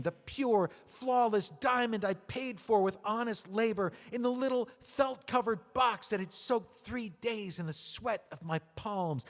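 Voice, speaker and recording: A man playing a bitter, sarcastic widower. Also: high voice. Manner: sarcastic, seething, mocking. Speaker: widower writing a memoir. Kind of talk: audio drama acting. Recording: long hall reverb.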